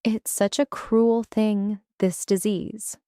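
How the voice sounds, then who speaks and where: A female narrator plays an elderly mother-in-law in a dramatic audio story. She is frail, sweetly vague and plaintive, feigning confusion with halting phrases. The sound is very dry and close. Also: medium pitch, flat delivery, dark tone, clear, resonant tone, no echo, natural voice. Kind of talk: audio story character dialogue.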